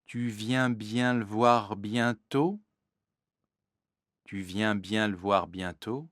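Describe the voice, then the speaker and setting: A man reading French textbook drill answers with measured, careful enunciation, recorded close in a studio. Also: lowish voice, a bit bright, no echo. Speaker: textbook audio reader.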